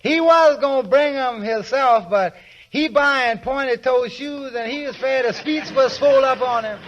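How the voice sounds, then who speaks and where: A man acting in a 1940s radio comedy as a slow, meek, obliging delivery man, with a hesitant drawl and comic dialect, on an old radio recording. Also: very high voice, a bit bright, muffled recording. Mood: shy, curious.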